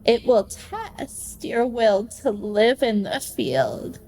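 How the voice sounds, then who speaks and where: A woman playing an aloof, mystical diva with a drawn-out theatrical delivery in a radio play. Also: on the high side, big pitch swings, darkish tone, a little hiss or hum, exaggerated voice, poor audio. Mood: ominous.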